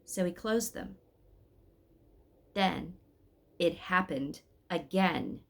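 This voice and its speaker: Woman reading a story aloud. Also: lowish voice. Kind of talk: scripted story read-aloud.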